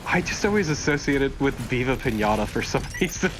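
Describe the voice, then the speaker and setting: A man's voice: mid-range voice, lively intonation, a little fast, background noise. A young man chatting casually and wryly as co-host of a gameplay video, swearing freely. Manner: wry, profane. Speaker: let's play co-host. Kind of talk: casual joking gameplay chat.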